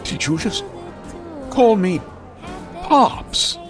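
An actor playing a grandiose, vain, aging masked vigilante hero in old-time radio style, pompous and melodramatic. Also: male voice, high voice, sing-song, drawn-out words, soft background music, heavily acted. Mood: outraged.

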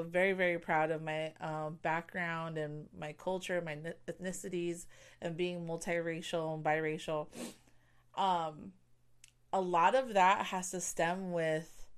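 Young woman, smooth and clear, lowish pitch, candid casual chat, lots of fillers. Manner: candid, chatty, heavy use of "like" and "you know". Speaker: podcast host. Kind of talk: casual personal monologue.

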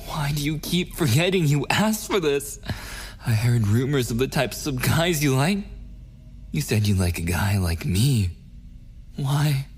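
An actor playing a possessive, obsessive and menacing young boyfriend in an intimate ASMR audio roleplay, slipping into breathy whispers. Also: male voice, mid-range voice. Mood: indignant, pleading.

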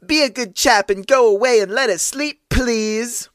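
A man's voice: very high voice, unhurried, a bit bright, dry recording, clean studio sound, pitched above the performer's own voice. A man voicing a sleepy, annoyed young maidservant woman who answers mockingly and dismissively. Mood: scornful.

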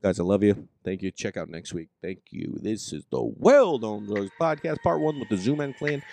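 A man's voice: fairly low pitch, sing-song, normal speed, gravelly, dark tone, slight background noise. A man hosting a podcast, talking in a loose, rambling way with lots of fillers and casual swearing. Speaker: podcast host.

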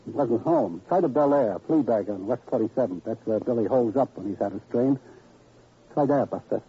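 An actor playing a brash, slangy fight promoter, glib and defensive, with a husky wisecracking delivery in an old-time radio crime drama. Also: male voice, medium pitch, lively intonation, moderate pace, slight background noise, close to an everyday voice. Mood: confiding. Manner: slangy, wisecracking tough-guy talk. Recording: dull, lo-fi vintage radio recording.

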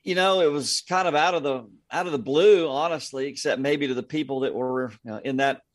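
A man's voice: mid-range voice, fairly quick, dry recording. Male sports announcer in interview, dry sound. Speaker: radio play-by-play announcer, interview guest.

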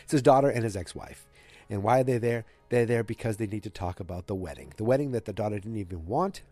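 A man's voice: on the low side, fast talker, mellow, dry recording, faint music behind. A man with a cold, recapping a TV episode on his show in a rambling, self-correcting way. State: has a cold. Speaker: podcast host recapping a TV episode. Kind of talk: casual solo recap.

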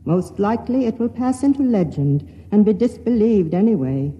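A man acting as the grave chronicler of a doomed starship in a radio drama, measured and solemn. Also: high-pitched, lively intonation, dark tone, dull audio. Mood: solemn, foreboding. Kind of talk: dramatic scripted radio narration. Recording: long reverb.

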